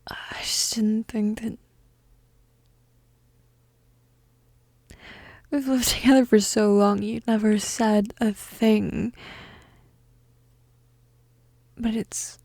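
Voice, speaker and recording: A young goth woman played by an audio roleplay actress murmurs with shy affection and gentle teasing. Her delivery is slow and hesitant, soft and breathy, often dropping to a whisper. The sound is close and dry. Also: on the high side, flat delivery, no room sound. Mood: gentle, apologetic.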